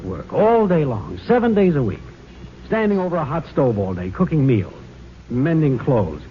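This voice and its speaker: An actor playing a pushy, pompous, cajoling neighbour in a 1940s radio comedy. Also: male voice, on the high side. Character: pushy, blustering married neighbour man, self-righteous and comic. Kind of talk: 1940s radio sitcom acting. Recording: muffled, hissy old radio recording.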